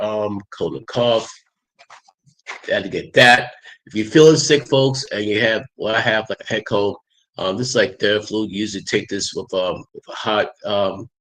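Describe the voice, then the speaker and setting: A man with a head cold hosting a casual livestream, talking in a rambling, halting way with many fillers. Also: lowish voice, a bit bright, rough recording. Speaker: livestream host.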